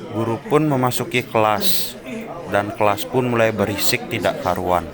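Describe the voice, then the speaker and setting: A man's voice: on the low side, moderate pace, crowd noise. A man narrating a scripted story. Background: music behind the voice.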